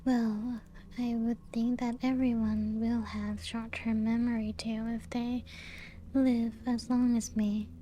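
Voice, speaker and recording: A woman playing a genteel, serene, playful ancient vampire in a soft-spoken ASMR roleplay, with a breathy, often half-whispered voice and gentle lilt. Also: a little high, level tone, slight background noise. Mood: nostalgic, gentle. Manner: slow, unhurried, gently lilting storytelling. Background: music.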